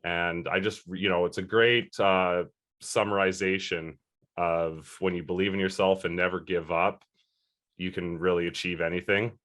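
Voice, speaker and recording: A man's voice: low-pitched, steady tone, moderate pace, a bit bright. A man in his early thirties answering interview questions earnestly, rambling a little with fillers and restarts. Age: 30s. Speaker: interview guest.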